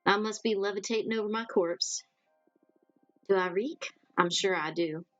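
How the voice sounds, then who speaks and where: A woman voicing a gentle, confused ghost who speaks in a slow, halting, polite and timid way. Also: mid-range voice, no echo, faint music behind, lightly acted. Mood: embarrassed.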